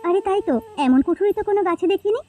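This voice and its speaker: An anxious village wife and mother in a cartoon folk tale , played by a woman. Her voice is extremely high yet mellow, and she talks quickly. The delivery is plaintive and coaxing. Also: lively intonation, soft background music. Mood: gentle, cautious. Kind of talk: Bengali cartoon folk-tale dialogue acting.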